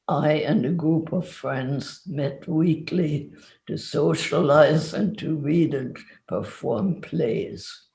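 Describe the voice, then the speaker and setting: A man's voice: a little high, vocal fry, darkish tone. A man in his nineties from Germany, reading a scripted survivor's testimony in an echoey room. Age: 90s. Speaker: Holocaust survivor giving testimony. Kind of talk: scripted personal narrative.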